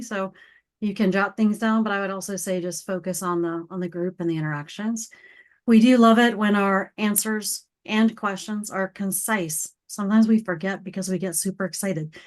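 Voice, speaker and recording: A woman hosting a weekly online business meeting, upbeat and organized as she explains how it runs. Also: mid-range voice. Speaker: meeting host. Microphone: video call.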